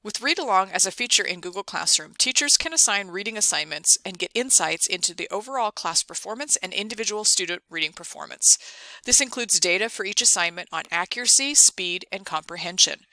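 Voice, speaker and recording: A woman presenting an instructional screencast, explaining things clearly step by step. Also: medium pitch, lively intonation, brisk, pushed and bright, dry recording. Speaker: ed tech tutorial presenter. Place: dry close voice-over for a screen recording.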